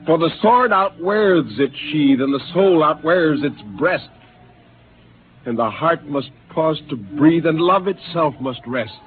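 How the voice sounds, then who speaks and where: An actor playing a brooding male astronaut who turns killer, speaking quietly and intensely in a 1950s radio drama; a tinny old broadcast with faint hiss. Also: a little high, lively intonation, unhurried. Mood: wistful.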